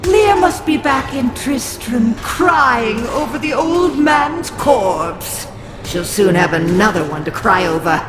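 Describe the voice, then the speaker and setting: A woman's voice: fairly high pitch, expressive, slow, over music. An actress playing a cruel, scheming female cult leader with a mocking, theatrical delivery.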